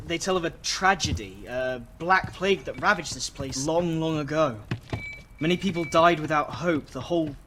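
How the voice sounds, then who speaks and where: A man's voice: a little high, background noise. An actor playing a nervous, bookish young male scholar in a video game, speaking in a hesitant, earnest, stammering way. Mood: grave, scholarly. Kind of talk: video game cutscene acting.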